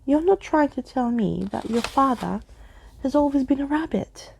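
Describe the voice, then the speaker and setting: A woman's voice: sits high, animated, moderate pace, creaky, darkish tone, slight background noise, voice pushed up. A woman voicing a theatrical male skeleton adventurer in a pompous, wordy, emphatic storybook manner. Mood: baffled, indignant.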